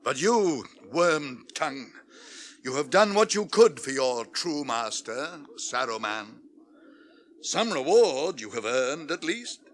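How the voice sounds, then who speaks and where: Authoritative old British wizard, measured and slow with dramatic pitch swings, fantasy radio drama. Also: male voice, big pitch swings. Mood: stern. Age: older. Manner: imperious, measured, declamatory. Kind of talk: theatrical fantasy radio play acting.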